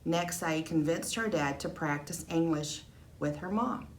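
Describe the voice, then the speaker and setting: Female teacher as storybook narrator, low pitch, amateur recording with noticeable background noise. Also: a little slow. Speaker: teacher reading aloud.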